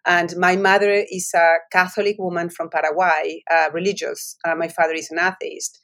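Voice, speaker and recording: A woman's voice: on the low side, level tone, normal speed, a bit bright. Argentine woman with a Spanish accent. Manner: reflective storytelling with frequent restarts. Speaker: author. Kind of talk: interview answers.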